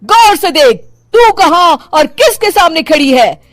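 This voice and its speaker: A woman voicing a stern, older retired policewoman mother-in-law who barks commands in an animated story. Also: extremely high pitch, no echo, soft background music, voice pushed up, exaggerated voice. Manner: barking drawn-out commands, strict. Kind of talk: animated moral story voice acting.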